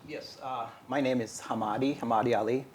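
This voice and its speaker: A man from Somalia speaks at a live event with a middle-pitched voice. He talks in a measured way and pauses often. A faint background noise runs under his words. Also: fairly even intonation. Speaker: farmer and community organizer.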